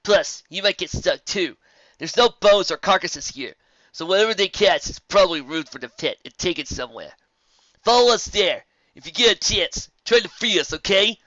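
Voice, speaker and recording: Guy doing a cocky young pony girl, higher pitched and pushy. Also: expressive, moderate pace, pushed and bright, dry recording, highs cut off. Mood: commanding. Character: bold young female pony, confident schemer.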